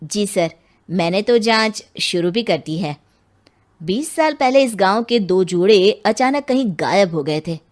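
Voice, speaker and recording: A dutiful cartoon police inspector , played by a woman, speaks at an even middle pitch. He questions people earnestly and politely, steady and respectful throughout. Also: a little slow, natural voice. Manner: earnest, polite questioning, addressing others as sir. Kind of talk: Hindi cartoon voice acting.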